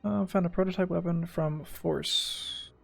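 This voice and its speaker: A woman with a gravelly voice chatting casually and muttering asides while streaming a video game. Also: on the low side, steady tone, a little slow. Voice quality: heavily creaky, gravelly voice. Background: video game music.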